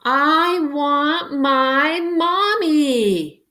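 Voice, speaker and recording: A woman voicing a genius baby boy who wants his mother, in a high wailing little voice. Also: animated, extremely slow, sharp tone, full character voice.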